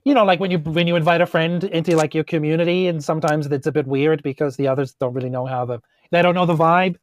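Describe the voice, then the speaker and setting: A man playing a tabletop game, chatting casually in a halting, jokey way as he improvises. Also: fairly high pitch, fairly even intonation. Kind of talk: casual improvised role-play chat.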